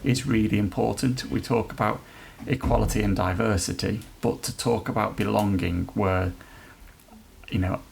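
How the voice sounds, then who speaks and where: A man who leads an NHS trust's inclusion work, answering podcast questions in an earnest, halting way with many restarts. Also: on the low side, fairly even intonation, vocal fry, a little hiss or hum. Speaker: NHS trust leader chairing an inclusion council.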